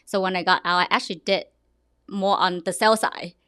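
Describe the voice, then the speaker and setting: A young woman with a Vietnamese accent, a real estate agent talking in a podcast interview, lively and often restarting her sentences. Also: lowish voice, a little fast, no room sound, clean studio sound. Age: young adult. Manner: animated, restarts often, laughs.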